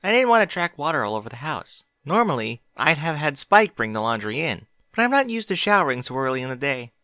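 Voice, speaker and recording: A man's voice: a little high, sing-song, a little fast, bright, forceful tone, no room sound, clean studio sound, pitched above the performer's own voice, exaggerated voice. A man voicing a bookish young female pony, chatty and precise, in a fan fiction audiobook. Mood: chatty, casual.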